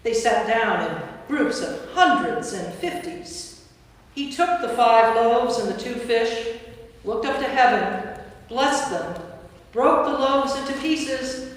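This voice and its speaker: A woman giving a scripted dramatic narration of scripture as part of a staged reading. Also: on the high side. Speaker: narrator, priest. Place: large indoor church. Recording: echoey church hall from far off.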